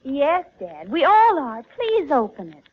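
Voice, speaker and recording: An actress playing an eager, pleading American teenage daughter in a 1940s radio comedy. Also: female voice, high-pitched, wildly swooping pitch, unhurried, exaggerated voice. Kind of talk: 1940s radio sitcom acting. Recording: dull vintage radio recording, audience behind.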